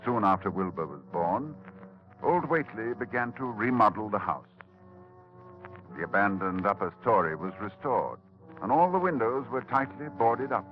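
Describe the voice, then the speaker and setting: A man narrating a horror radio drama in a grave, measured storytelling manner. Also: on the low side, expressive, soft background music. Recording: dull old radio broadcast.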